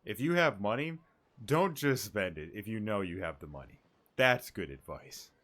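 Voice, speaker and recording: Guy streamer reacting to game scenes, lowish voice, chatty and offhand, music in the background. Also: expressive, normal speed, fairly bright tone. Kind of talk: casual, off-the-cuff live commentary.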